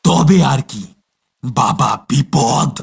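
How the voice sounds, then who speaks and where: A man plays a greedy killer from a horror cartoon. The voice is husky and threatening, stretching words out with menace. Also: mid-range voice, full character voice. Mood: menacing. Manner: menacing, slow drawn-out threats. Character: greedy, threatening male murderer.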